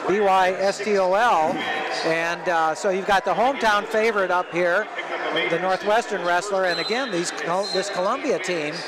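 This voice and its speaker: A man's voice: high voice, normal speed. A man doing live radio play-by-play of wrestling in a conversational way. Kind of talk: live sports commentary. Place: indoor sports arena, matside. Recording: noisy live arena with crowd behind. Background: crowd noise and gym bustle.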